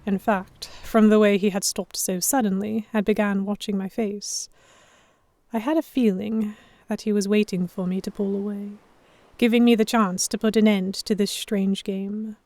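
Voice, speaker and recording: A woman's voice: medium pitch, flat delivery, normal speed, a little mellow, no room sound. Dry, close-sounding woman narrating audio drama. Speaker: narrator and lead actor. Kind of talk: first-person audio drama narration with acted dialogue.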